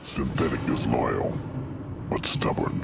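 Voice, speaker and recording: A man voicing a savage, loyal inhuman warrior in a video game, with a gravelly growl and solemn, formal speech. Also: rumbling low, loud music behind the voice, heavily acted. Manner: slow, solemn, formal phrasing. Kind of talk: video game cutscene acting. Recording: noise behind the voice.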